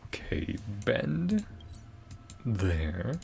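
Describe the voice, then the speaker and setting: Low, creaky-voiced man reacting to a game. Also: wildly swooping pitch, extremely slow, darkish tone. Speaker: gaming video host. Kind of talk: casual reaction commentary.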